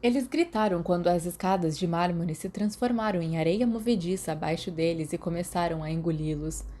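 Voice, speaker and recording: A woman with a Brazilian accent, calmly reading a fan fiction audiobook aloud. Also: fairly low pitch, brisk. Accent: Brazilian Portuguese. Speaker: podfic reader.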